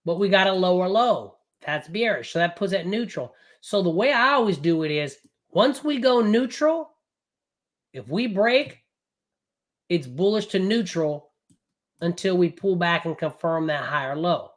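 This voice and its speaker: A man running a live trading class, recovering from a cold, talking in a loud, brash and profane way with lots of rambling asides. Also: high-pitched, lively intonation. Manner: casual, fast and rambling, with frequent "right?". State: recovering from a cold, voice not fully back. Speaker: day trading coach.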